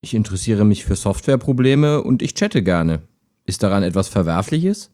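A man playing a calm, helpful, lonely chat partner in an audio drama, with a measured, earnest delivery. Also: fairly low pitch. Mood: matter-of-fact. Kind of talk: amateur audio drama dialogue, read chat.

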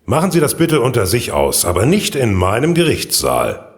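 A man's voice: medium pitch, wildly swooping pitch, moderate pace. A man playing a wry, authoritative court judge in an audio drama, with a dry, commanding manner. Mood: dry, stern.

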